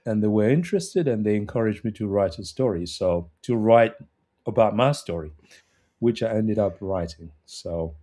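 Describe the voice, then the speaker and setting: A man from the Republic of Congo, introducing himself as a podcast guest in a casual, measured, slightly hesitant way. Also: fairly low pitch, warm tone. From Republic of Congo, later South Africa. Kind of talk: casual conversational self-introduction.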